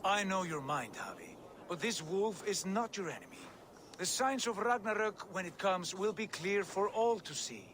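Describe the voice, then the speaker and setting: A pragmatic warrior god talks in a high, firm voice. He sounds measured and reasoning. A steady noise sits under the game audio. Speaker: game character.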